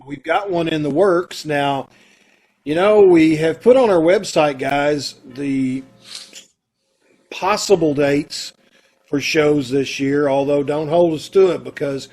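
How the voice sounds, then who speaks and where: A man's voice: a little high, a little mellow. A man hosting an informal show sign-off over a video call, upbeat, emphatic and folksy. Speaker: troupe host. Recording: home video-call recording.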